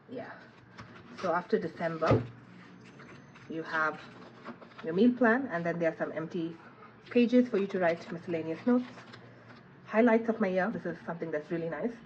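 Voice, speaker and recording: A low-voiced woman, a little stuffy from a cold, chatting her way through an unboxing review. She thinks aloud, trails off and fills gaps with ums. The recording is muffled and lo-fi, with a faint hiss behind her. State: has a cold, sniffling. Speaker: planner reviewer.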